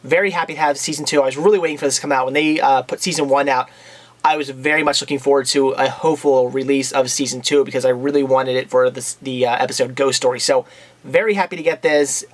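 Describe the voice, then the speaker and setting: Fast-talking chatty young man vlogger. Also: medium pitch, steady tone. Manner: fast, chatty, enthusiastic, lots of fillers. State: losing his voice, getting a cold. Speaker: video blogger reviewing purchases. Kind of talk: casual vlog chat.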